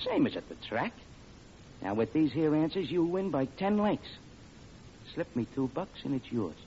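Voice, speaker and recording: An actor playing a sly, slangy racetrack tout, wheedling in an old radio comedy. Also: male voice, a little high, sing-song, normal speed, warm tone. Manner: conspiratorial, wheedling. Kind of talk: 1940s radio comedy acting. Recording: muffled old radio recording with noise.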